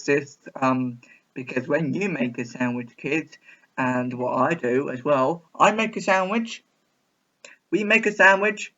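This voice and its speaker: A man's voice: a little high, fairly bright tone, dull audio. A man giving casual, rambling video commentary in a chatty, repetitive, mock-baffled way. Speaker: reaction video host.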